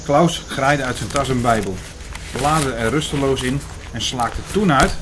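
An actor playing a skeptical man who narrates and joins the dialogue of a radio play in a measured manner. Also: medium pitch, lively intonation, background noise, natural voice. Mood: skeptical, reflective. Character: Hugo, skeptical male narrator and listening companion.

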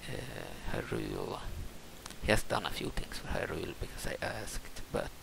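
Very gravelly, low-voiced Swedish man. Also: wildly swooping pitch, moderate pace, noisy background. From Sweden, adopted from Brazil. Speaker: gaming video host. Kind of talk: casual unscripted game commentary. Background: loud game music.

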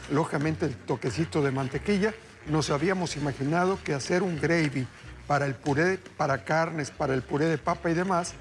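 A man's voice: fairly high pitch, fairly quick. A middle-aged man hosting a TV cooking show, talking viewers through a recipe in upbeat, chatty patter and calling them family. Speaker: TV chef host. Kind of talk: live cooking demonstration. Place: TV studio kitchen. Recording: background music under the voice.

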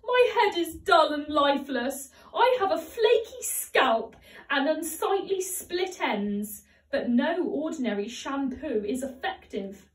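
A woman's voice: high-pitched, very animated, slow pace, voice pushed up, heavily acted. A woman voicing a wretched storybook woman who moans and whines melodramatically about her troubles. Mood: wailing despair. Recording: roomy echo, distant microphone.

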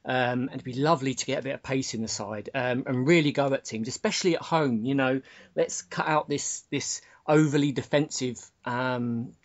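A man hosts a casual sports podcast in a mid-pitched voice. The audio is dull and lo-fi, and he talks like he is among mates. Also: fairly even intonation, moderate pace. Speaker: podcast host.

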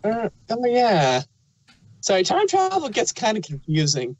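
A panelist talks in a relaxed, conversational style on a review podcast. The voice sits at a middle pitch, recorded very dry with no room sound. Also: unhurried, dry recording. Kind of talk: casual chat.

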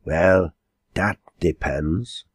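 Man as old pedlar, storybook, timid, tired, tremulous, husky, slow. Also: lowish voice, wildly swooping pitch, darkish tone, no room sound, clean studio sound, voice pushed up, full character voice. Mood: timid, weary.

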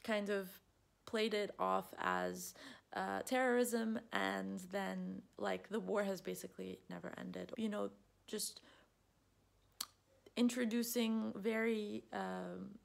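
A young woman whose first language is Arabic chats about books from a room at home, picked up by a close webcam. Her voice sits at a middle pitch with heavy, gravelly vocal fry. She talks thoughtfully, with lots of fillers and restarts. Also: fairly even intonation, takes it slowly. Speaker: book vlogger. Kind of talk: casual book review. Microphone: webcam at close range.

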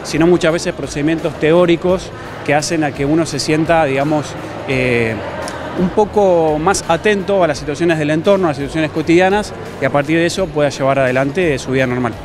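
A man's voice: on the high side, fairly quick, music in the background. A man in his thirties with an Argentine accent, a self-defense instructor giving spontaneous, explanatory interview answers into a handheld microphone in a gym. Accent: Argentine Spanish. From La Plata, Argentina. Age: 30s. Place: large busy gym hall, indoors. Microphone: handheld reporter microphone.

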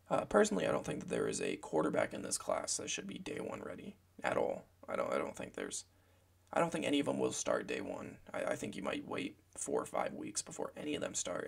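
A young man with a gravelly voice, hoarse from a cold, giving casual football draft commentary from a small room at home, rambling with lots of fillers. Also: a bass voice. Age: young adult. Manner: meandering, filler-heavy, self-correcting.

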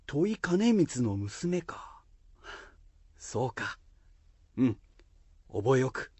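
Guy doing a courteous samurai lord, husky, takes his time, stately old talk, radio play. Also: mid-range voice, very animated, very slow, a little mellow, dry recording, full character voice. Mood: courteous, lordly. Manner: stately archaic speech. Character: dignified, courteous male lord, exiled samurai leader. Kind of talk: Japanese period radio drama acting.

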